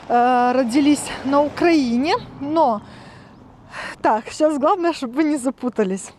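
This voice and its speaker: A woman in her thirties with a slightly high voice talks casually to a handheld camera. She explains things step by step and pauses on fillers as she walks about. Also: darkish tone, slight background noise. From Transnistria, Moldova. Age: 30s. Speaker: vlogger. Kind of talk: casual vlog talk to camera. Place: outdoors and at home, walking.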